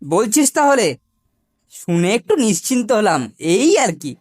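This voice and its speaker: A woman's voice: mid-range voice, very animated, normal speed, a little mellow, soft background music, lightly acted. A woman voicing a sly, boastful, bossy older schoolboy in a cartoon, with a wheedling way of talking. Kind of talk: cartoon voice acting.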